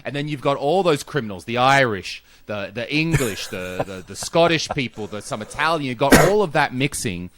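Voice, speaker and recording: An Australian man hosting a casual podcast chat over a video call, animated and swearing freely. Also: mid-range voice, moderate pace, hardly any pauses. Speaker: podcast host.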